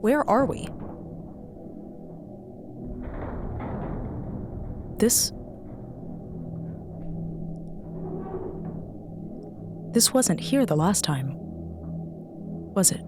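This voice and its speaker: An actress playing a bitter, commanding spaceship captain in an audio drama, speaking tersely with sardonic distrust. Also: female voice, mid-range voice, very animated, slow pace. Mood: wary, puzzled. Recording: music under the voice. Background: dramatic music.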